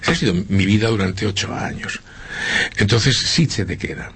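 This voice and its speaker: Middle-aged Spanish politician, husky rough voice, reflective and halting in interview. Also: male voice, fairly low pitch, fairly bright tone. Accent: Spanish, with a slight Argentine lilt. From Spain. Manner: earnest, reflective, with frequent hesitations and repeats. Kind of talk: interview conversation.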